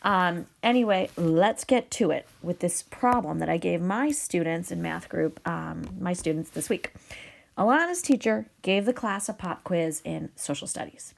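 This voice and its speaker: A woman teacher explaining a math problem step by step, her voice raspy from a cold. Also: lowish voice, animated, unhurried. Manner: upbeat, patient explaining with fillers. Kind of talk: video math lesson.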